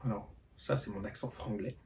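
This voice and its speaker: A man's voice: on the low side, steady tone, moderate pace, creaky, a little mellow, slight background noise. A tired man chatting casually in a book vlog, hesitant and full of fillers and restarts. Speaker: book vlogger. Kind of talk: casual reading-update vlog.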